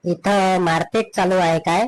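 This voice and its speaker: A man's voice: high voice, steady tone, measured pace, rough recording. A man playing a shameless, touchy, meddling neighbour in a comedy cartoon, with a Vidarbha Marathi accent. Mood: nosy, offended. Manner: meddling, pestering.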